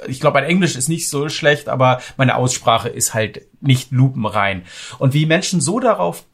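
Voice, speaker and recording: A man's voice: mid-range voice, expressive, moderate pace, a bit bright, slightly rough. A man co-hosting a music podcast, chatting casually about metal in a rambling, explanatory way.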